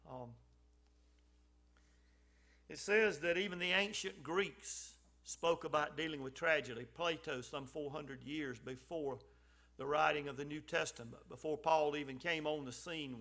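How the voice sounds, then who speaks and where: Man, lay church speaker, conversational and folksy, bright tense tone, slightly high voice. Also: creaky. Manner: folksy and conversational, with frequent ums and restarts. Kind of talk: informal church message.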